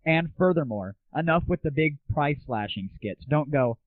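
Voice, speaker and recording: A man's voice: on the high side, lively intonation, moderate pace, no room sound. A man hosting a casual solo internet audio show, talking in an irreverent, crude, off-the-cuff way. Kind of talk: casual solo rant and chat. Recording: muffled phone-like lo-fi 1990s internet audio.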